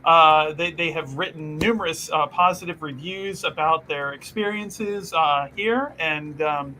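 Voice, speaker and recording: A man speaking in character at a tabletop game, boastful and halting, with frequent fillers. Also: on the high side, sharp tone, slight background noise, rough recording. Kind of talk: in-character roleplay.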